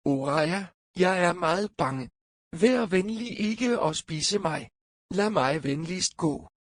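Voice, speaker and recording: A man's voice: high voice, expressive. A man voicing a small, timid, friendly mouse in a children's cartoon story. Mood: frightened pleading. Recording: loud music behind the voice. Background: music.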